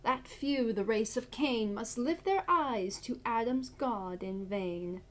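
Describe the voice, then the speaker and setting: Woman acting a slow, solemn doomed tragic heroine. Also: fairly high pitch, slight background noise. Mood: grave foreboding. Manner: declamatory, measured, grave. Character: doomed young woman, solemn, proud, loving, defiant of death. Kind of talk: classical verse monologue, theatrical.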